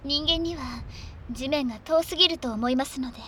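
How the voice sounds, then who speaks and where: A woman playing a whispery, high-voiced dragon maid. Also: brisk, a little hiss or hum. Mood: possessive. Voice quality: breathy whisper. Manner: formal servant's speech, often soft and whispery. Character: possessive polite female dragon maid with wings and horns. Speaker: voice actress. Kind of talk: ASMR roleplay audio drama.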